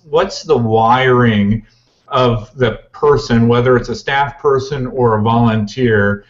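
Male host with dull webcam sound. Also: mid-range voice. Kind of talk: interviewer on video call. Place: home office indoors.

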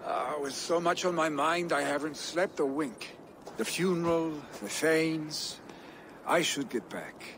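A man playing a restless young Viking warrior, a grieving jarl's son, in a video game cutscene, speaking in an earnest, measured way. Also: on the high side, background noise. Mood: weary, solemn. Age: young adult.

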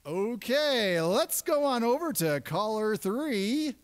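Upbeat puppet radio DJ, a guy played by a woman, very animated and unhurried, patient, showy comic host. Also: on the high side.

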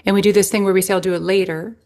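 Animated woman with a gravelly edge. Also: mid-range voice, racing. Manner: animated, expressive, explanatory. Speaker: guest, well-being researcher. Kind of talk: enthusiastic conversational interview. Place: home living room. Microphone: headset over video call.

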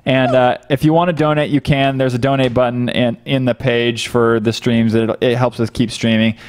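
A man's voice: medium pitch, little pitch movement, moderate pace, a little mellow, smooth. A man, chatting casually with viewers as a livestream host into a close desk microphone. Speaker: live stream host. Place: home office room, indoors. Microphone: close desk microphone on boom arm.

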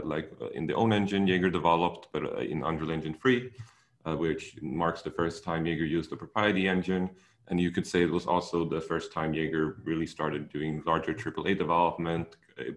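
A young Swedish-accented man presents over a video call, his voice sitting extremely low. He speaks in a relaxed way, with frequent ums and restarts. The sound comes from a small room. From Sweden. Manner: relaxed, many fillers and restarts. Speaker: technical director giving a talk. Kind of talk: online seminar presentation.

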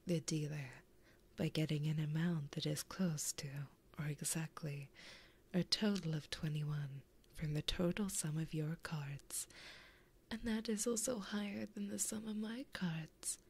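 A woman voicing a flirty, possessive, taunting female demon in an ASMR roleplay, breathy and often whispering in a teasing, intimate way, close to a binaural mic. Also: deep voice, fairly even intonation, a little slow, a little mellow, exaggerated voice. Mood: flirty, calm. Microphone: close binaural microphone.

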